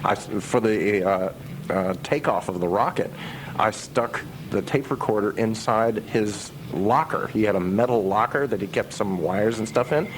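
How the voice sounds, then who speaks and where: A low-pitched man talks casually as an interviewed musician. He is anecdotal and halting, pausing to restart his thoughts. There is some noise behind him on the radio aircheck. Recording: noisy radio aircheck recording.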